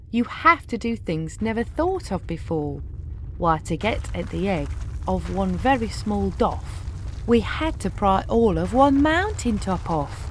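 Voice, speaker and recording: A woman narrating a rhyming children's story aloud in an expressive, deliberate, rhythmic way. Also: mid-range voice, slight background noise. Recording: music behind the voice. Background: music.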